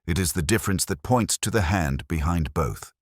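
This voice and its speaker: Audiobook sleuth, played by a male narrator: extremely low male voice, intense, commanding, deliberate and rhetorical, dry close sound. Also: lively intonation, dry recording. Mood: intent. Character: brilliant, intense male detective, commanding and cryptic.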